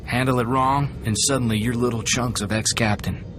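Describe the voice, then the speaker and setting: An actor playing a cocky, eager young gunslinger sidekick in a video game, folksy and plain-spoken. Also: male voice, mid-range voice, fairly even intonation, a little fast, background noise, lightly acted. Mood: cautioning. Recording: clear music behind the voice.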